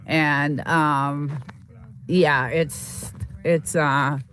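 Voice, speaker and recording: A woman hosting a casual live stream outdoors in the desert, sniffly with a slight cold, talking in a halting, rambling way full of fillers as she greets viewers. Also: deep voice, steady tone, fairly bright tone, slight background noise. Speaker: livestream host.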